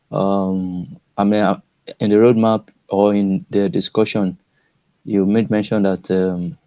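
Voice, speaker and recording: A man's voice: low voice, mellow, no echo, dull audio. A man calling in with a question, hesitant and searching for his words. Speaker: caller asking a question.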